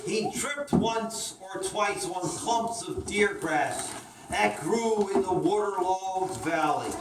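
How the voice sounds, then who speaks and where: A woman storyteller reads a children's tale slowly and dramatically. She is recorded from a distance in an echoey room, with clear noise behind her. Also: mid-range voice. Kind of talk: scripted children's story narration. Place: indoor room, picked up from a distance.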